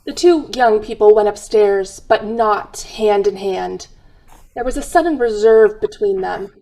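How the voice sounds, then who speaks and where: A woman's voice: mid-range voice, a little slow, warm tone, rough recording. A woman narrating a scripted radio drama as its storyteller.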